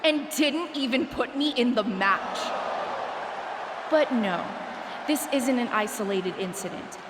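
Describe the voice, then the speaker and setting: Vengeful wrestler in a reverberant arena. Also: female voice, a little high, animated, slow. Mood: accusing. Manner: mocking, accusatory. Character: vengeful sardonic female wrestler confronting a rival. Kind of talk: scripted wrestling promo. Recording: long arena reverb, clear background noise.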